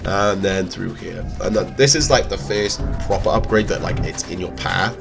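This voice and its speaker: A man's voice: sits low, normal speed, gravelly. A man with a cold, giving rambling, chatty gameplay commentary in a stuffy voice. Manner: rambling, chatty, self-correcting. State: stuffy with a cold and sore throat. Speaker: let's play host. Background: game music.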